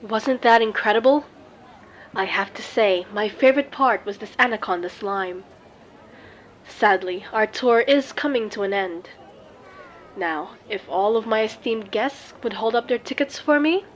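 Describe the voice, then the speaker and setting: A woman's voice: on the high side, natural voice, rough recording. Woman playing a theatrical menagerie showwoman, grand patter. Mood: bright, courteous. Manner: grand, salesmanlike patter with dramatic pauses. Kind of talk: scripted fantasy audio roleplay.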